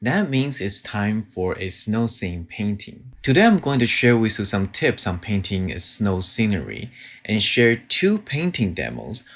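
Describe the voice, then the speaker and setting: Calm low-voiced man teaching watercolor. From Taiwan. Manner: calm, gentle, unhurried explaining. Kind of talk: painting tutorial. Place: home studio with guitar and shelves.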